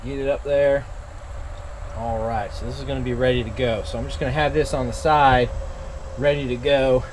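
A man's voice: medium pitch, moderate pace, noisy background. Man chatting casually while camp cooking outdoors. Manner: relaxed, step-by-step, many fillers and restarts. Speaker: outdoor cooking channel host. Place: outdoors at a truck tailgate by a camp stove.

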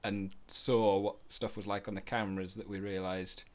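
Low-voiced man chatting, jokey. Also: flat delivery, moderate pace. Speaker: puppet show co-creator giving audio commentary. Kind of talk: casual behind-the-scenes commentary chat.

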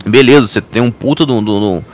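A man's voice: mid-range voice, fast talker. A Brazilian man hosting a gaming podcast, rambling with hesitant restarts in casual chat. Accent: Brazilian Portuguese. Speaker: podcast host.

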